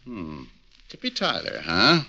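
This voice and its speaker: An actor playing a tough, wisecracking private eye who talks in a sardonic, hard-boiled way, in 1940s radio acting style. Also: male voice, on the low side, huge pitch swings, takes it slowly, sharp tone, dull audio, exaggerated voice.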